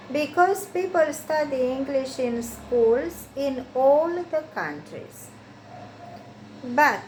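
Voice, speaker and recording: High-voiced woman reading slowly. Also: a little mellow. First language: Romanian. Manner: slow, careful reading with deliberate phrasing. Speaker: English learner working through textbook exercises. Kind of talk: reading exercises aloud and answering them. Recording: roomy echo with faint background hiss.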